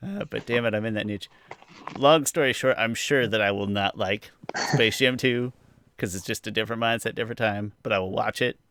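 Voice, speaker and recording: Gravelly, bright-voiced man, close and dry. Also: on the low side, fairly quick. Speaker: podcast co-host. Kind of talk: casual opinionated chat.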